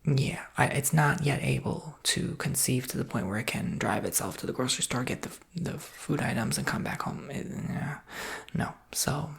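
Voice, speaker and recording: A woman performs an ASMR roleplay as a soft-spoken salesperson. Her whisper is breathy and sits low, with very heavy vocal fry. She talks a customer through things in a reassuring way. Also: normal speed.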